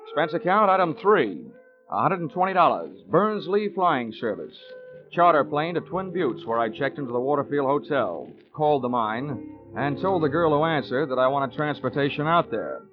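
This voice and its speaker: A man's voice: fairly high pitch, expressive, normal speed, natural voice. A man playing a sardonic, breezy insurance investigator who narrates his own case in a 1940s radio drama. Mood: dry, wry. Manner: wry, breezy, wordy. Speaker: lead actor and narrator. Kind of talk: 1940s radio detective acting. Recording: muffled 1940s radio broadcast recording, music.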